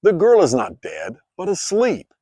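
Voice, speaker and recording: A man acts out a male teacher and healer from a scripture story. He is calm, solemn and authoritative, weighing his words with a very lively, wide-ranging delivery in a dry, close sound. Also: high-pitched, unhurried, dry recording, pitched above the performer's own voice, full character voice. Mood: commanding.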